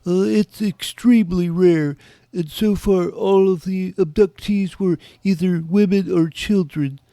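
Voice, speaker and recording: A man's voice: sits high, steady tone, measured pace, mellow, no echo. A man playing a big middle-aged cryptozoologist in an audio drama, prickly, wary and pedantically literal. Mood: pedantic, wistful. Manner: stiff, pedantic, hesitant.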